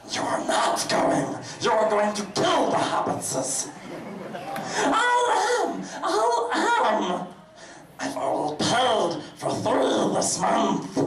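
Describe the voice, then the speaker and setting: A man's voice: high voice, a bit bright, heavily acted. A man voicing a fussy, bossy cartoon pony in a comedic live dramatic reading. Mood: melodramatic, indignant. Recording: echoey room, distant mic.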